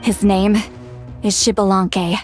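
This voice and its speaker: A woman's voice: medium pitch, flat delivery, slow pace, over loud music, close to an everyday voice. A woman playing a proud, earnest shaman in a video game, speaking in a formal, emphatic way. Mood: serious explaining. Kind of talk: video game character acting.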